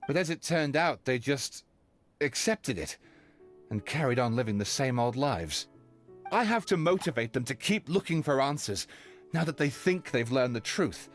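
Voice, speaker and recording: A man's voice: mid-range voice, lively intonation, normal speed, natural voice. A man playing an idealistic, remorseful detective in a game, speaking earnestly and theatrically. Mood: earnest, driven. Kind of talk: scripted game voice acting. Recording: music under the voice.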